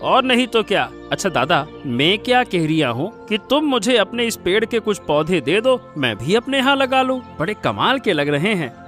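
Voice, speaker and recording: A man's voice: high-pitched, sing-song, normal speed, hardly any pauses, fairly bright tone. A man playing a poor, curious younger village neighbour in a Hindi cartoon story, talking in a chatty, rustic way. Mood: amazed, eager. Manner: folksy, rustic, chatty delivery. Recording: music playing behind the voice.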